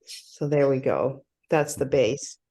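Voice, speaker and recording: A woman's voice: very deep voice, slow, creaky, mellow. A woman from Finland, a coach introducing herself in loose, searching phrases.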